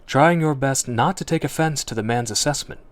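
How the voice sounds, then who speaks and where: A man narrating a scripted story in the second person, in an expressive, suspenseful old-time radio drama style. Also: medium pitch, normal speed. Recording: narration over prominent background music.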